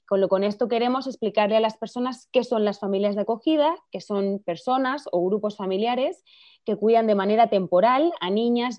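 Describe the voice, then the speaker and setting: A woman's voice: on the high side, darkish tone, dry recording. A woman with a non-Chilean Spanish accent, a filmmaker answering radio interview questions in long, earnest explanations. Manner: fluent, earnest explaining with many clauses. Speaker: filmmaker and campaign director, interview guest. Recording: dry, dull lo-fi radio audio.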